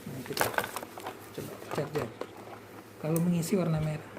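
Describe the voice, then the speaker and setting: Low-pitched woman giving noisy hands-on demo. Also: steady tone, moderate pace. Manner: instructive, short step-by-step phrases. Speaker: walkie-talkie seller demonstrating to a customer. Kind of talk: informal hands-on product demonstration. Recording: noisy on-location sound, clear background noise.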